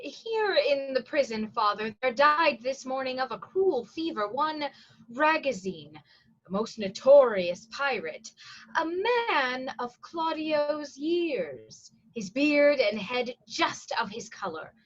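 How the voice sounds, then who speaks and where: Woman as an earnest high-voiced prison provost, lo-fi sound. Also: very animated, takes it slowly, fairly bright tone, exaggerated voice. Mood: businesslike. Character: dutiful, anxious prison provost, plotting earnestly. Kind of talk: staged reading of Shakespearean verse.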